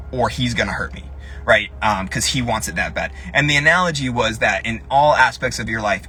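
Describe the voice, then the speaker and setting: A man's voice: medium pitch, pushed and bright, slight background noise. A young man from Tennessee with no accent left, a personal trainer chatting casually on a live stream from his parked car, rambling with lots of ums and restarts. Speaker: fitness influencer, personal trainer.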